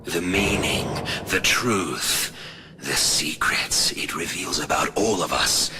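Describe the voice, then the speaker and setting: An actor playing a deranged killer turned monster in a video game, menacing and taunting, moving between whispers and shouts. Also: male voice, sits low, takes it slowly, background noise. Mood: taunting, fanatical. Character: deranged serial killer turned symbiote monster, preaching chaos and death. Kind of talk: video game villain voice acting.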